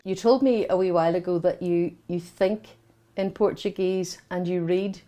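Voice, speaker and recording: A woman's voice: lowish voice, fairly even intonation, normal speed, mellow. Woman asking interview questions, encouraging tone.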